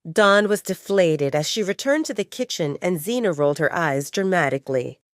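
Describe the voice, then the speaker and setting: A woman narrates an audio drama in a slightly low voice. Her delivery is scripted storytelling with wide, lively intonation, and the sound is close and dry. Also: moderate pace, no echo.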